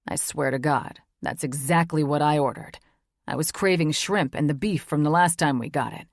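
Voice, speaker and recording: A woman's voice: deep voice, moderate pace, no room sound, studio quality, pitched below the performer's own voice. A woman narrator voicing a young man, a playful, devoted boyfriend who teases and swears casually. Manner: teasing, earnest, swears casually. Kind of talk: audiobook character voice.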